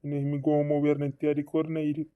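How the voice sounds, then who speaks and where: A man's voice: fairly high pitch, completely flat delivery, quick pace, smooth, no room sound, natural voice. A man voicing a fretting cartoon villager with repetitive, doubled words, in a dull lo-fi recording. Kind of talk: regional comedy cartoon dialogue. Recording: dull lo-fi dry audio.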